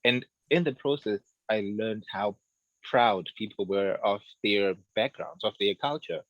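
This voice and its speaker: A man in his thirties from Zimbabwe, a book author chatting as a guest in a radio interview, relaxed and quick to laugh, often repeating his words as he thinks. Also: lowish voice, dry recording, poor audio. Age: 30s.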